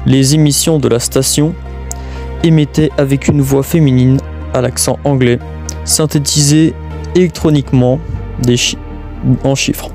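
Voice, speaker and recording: A man reading a scripted documentary narration as presenter, in a halting way with stumbles. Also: medium pitch, steady tone, a little mellow. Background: music.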